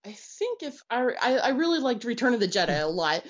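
A woman's voice: fairly high pitch, very animated, a little fast, highs cut off. An American woman, a guest telling stories in an enthusiastic, casual way and laughing easily. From United States. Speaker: podcast guest. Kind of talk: casual interview chat.

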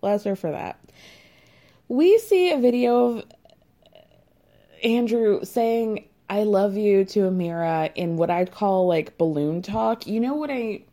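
Young woman, casual gossip commentator, sarcastic and dishy, relaxed dark timbre. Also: medium pitch, takes it slowly. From United States. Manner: sarcastic, gossipy, lots of fillers and laughs. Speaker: commentator recapping a reality dating show.

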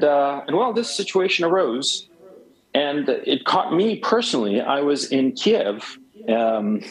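A man's voice: mid-range voice, poor audio. A man in his forties from Chile, a guest talking emphatically on a cell phone, rambling with many restarts. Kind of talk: phone interview.

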